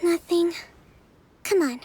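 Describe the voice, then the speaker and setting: A gentle but firm young priestess leader, high voice, measured formal game dialogue. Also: female voice, faint music behind. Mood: weary. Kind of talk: scripted video game voice acting.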